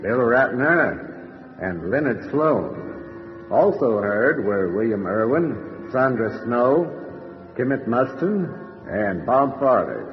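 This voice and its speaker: Drenched in echo and slightly muffled, a macabre old-time radio horror host. He speaks slowly and theatrically, with gleeful, sardonic relish and big swings of pitch. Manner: theatrical, sardonic, drawn-out delivery. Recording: muffled, heavily reverberant radio recording.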